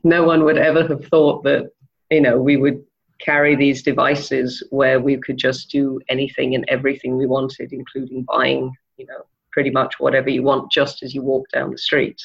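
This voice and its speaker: A woman from Denmark giving thoughtful interview answers, pausing often with ums and uhs. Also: a bass voice, little pitch movement, gravelly, slightly rough, dull audio. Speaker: interview guest, e-commerce manager.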